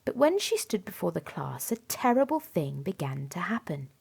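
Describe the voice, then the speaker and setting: A woman reading a children's storybook aloud in an expressive, dramatic way, softening to a hush at times. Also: lowish voice, normal speed, vocal fry, husky. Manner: animated, dramatic delivery with softened hushed moments. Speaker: storybook reader. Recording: music behind the voice. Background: music and story sound effects.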